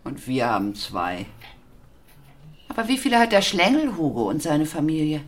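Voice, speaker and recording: Bossy blunt middle-aged mom in a radio drama, lowish voice that goes all over the place. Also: female voice, very animated, moderate pace, slight background noise. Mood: challenging, bossy. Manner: blunt, earthy, commanding. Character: brash, bossy, eccentric working-class mother of a teenage boy. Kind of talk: German radio play acting.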